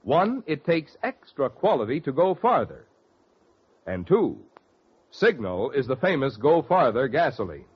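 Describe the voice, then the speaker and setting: A male radio announcer delivering a polished, emphatic commercial pitch in 1940s style. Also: big pitch swings, unhurried, breathy edge. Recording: dull vintage radio recording.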